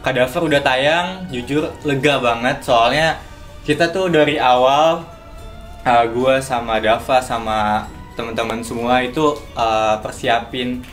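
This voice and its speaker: A man's voice: medium pitch, fairly even intonation, unhurried, a bit bright. A man chatting casually in colloquial slang as a producer in an interview. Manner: informal, colloquial Jakarta slang. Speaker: audio drama producer and writer. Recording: music playing behind the voice.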